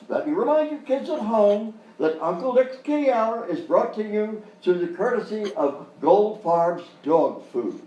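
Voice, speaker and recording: An actor playing a falsely cheerful, patronizing children's TV host in a live comedy parody. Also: male voice, high voice, a little mellow, heavily acted. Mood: forced cheer. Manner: exaggerated jolly, patronizing. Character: forced-cheerful grown-up male children's TV show host. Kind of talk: parody of early local kids' TV, live sketch acting. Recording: roomy live stage, distant mic, faint noise.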